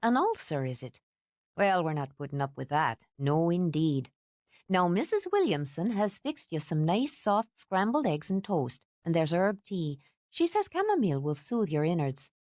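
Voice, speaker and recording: A woman's voice: lowish voice, sing-song, normal speed, a little mellow, no echo, clean studio sound. A woman voicing a stern, bossy older housekeeper who scolds and lectures out of fierce fondness. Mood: brisk.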